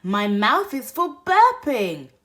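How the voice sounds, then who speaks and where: A woman reading a picture book aloud for toddlers, expressive, moving between hushed whispers and loud exclaims. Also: fairly high pitch, takes it slowly. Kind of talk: read-aloud bedtime story for toddlers.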